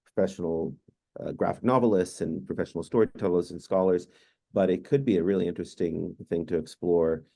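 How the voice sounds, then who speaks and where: A man in his fifties, a native Hungarian speaker, moderating an online panel discussion over a video call and telling stories in a relaxed, measured way. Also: sits low, creaky.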